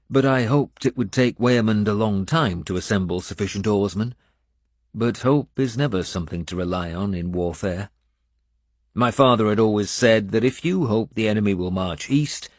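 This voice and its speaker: A man reading a scripted first-person audiobook in a measured storytelling manner. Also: lowish voice, a little mellow, dry recording, clean studio sound. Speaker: audiobook narrator.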